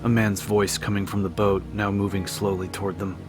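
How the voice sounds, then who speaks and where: Audiobook narrator, male, very deep and flat in pitch, dramatic scripted prose over prominent music. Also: a little fast.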